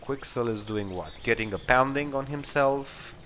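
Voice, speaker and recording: A man's voice: mid-range voice, normal speed, fairly bright tone, a little hiss or hum. A man giving casual, improvised commentary on a game, hesitantly thinking aloud as he decides his moves. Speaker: let's play host. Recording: game music under commentary. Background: video game music and battle sounds.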